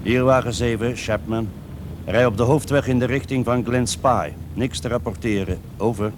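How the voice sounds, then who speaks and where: A man's voice: mid-range voice, steady tone, moderate pace, close to an everyday voice. A man acting in a radio play as a businesslike patrol officer reporting in clipped radio procedure. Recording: clear vehicle noise behind.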